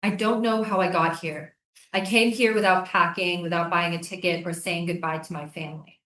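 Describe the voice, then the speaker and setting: A woman's voice: on the low side, steady tone, moderate pace, a bit bright, distant mic, close to an everyday voice. Woman acting earnest questioner, echoey room. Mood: haunted, reading aloud. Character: earnest, persistent woman writer returning to question a military prison. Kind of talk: staged play reading, dramatic dialogue. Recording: roomy, echoing live staged reading.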